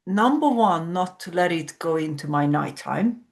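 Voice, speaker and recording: A woman's voice: on the low side, expressive, measured pace, vocal fry. A woman from Hungary, a sleep coach explaining ideas reflectively as a podcast guest. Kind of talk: podcast conversation.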